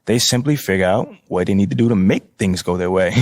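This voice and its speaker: An actor playing a confident, charming young American basketball star in a video game, easygoing, playful and slangy. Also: male voice, low-pitched, brisk, a little mellow, no room sound, natural voice. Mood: confident, relaxed. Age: young adult. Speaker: pro basketball player character. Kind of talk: casual video game cutscene dialogue.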